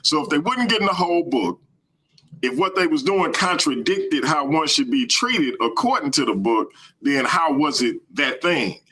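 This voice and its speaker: Bright-voiced American man hosting a live stream. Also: fairly high pitch, expressive, normal speed, rough recording. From United States. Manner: emphatic, colloquial, argues point by point. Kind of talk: casual live-stream interview talk.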